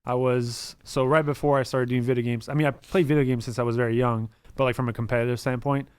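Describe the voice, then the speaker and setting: A man from Montreal, about thirty, whose first language is French, telling stories as a podcast guest in a quick, rambling way full of "like" and "um". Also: medium pitch, level tone, clean tone, no room sound. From Montreal, Canada. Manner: rapid storytelling, full of "like" and "um". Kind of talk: casual podcast interview.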